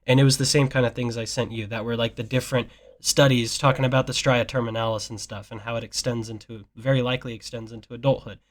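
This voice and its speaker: A man's voice: medium pitch, flat delivery, fast. A young man talking earnestly with a relative in a casual call, rambling with many fillers. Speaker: nephew. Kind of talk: casual phone chat.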